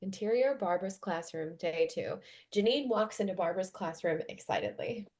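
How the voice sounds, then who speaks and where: A woman's voice: mid-range voice, moderate pace, darkish tone. A woman reading out stage directions as scripted narration at a table read.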